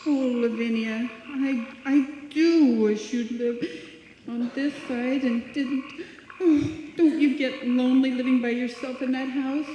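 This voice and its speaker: Echoey, high-voiced timid woman, acted on stage. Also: fairly even intonation, unhurried, warm tone. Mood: fearful. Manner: fretful, hesitant, pleading. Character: timid, anxious, gossipy thirty-five-year-old small-town woman. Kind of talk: live old-time radio play acting. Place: live stage performance in a hall. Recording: echoey hall, dull, faint noise.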